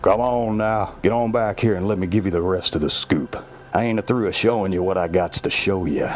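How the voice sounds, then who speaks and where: A man's voice: deep voice, fairly quick, natural voice. A man voicing a menacing, crazed backwoods predator, taunting in drawling slang.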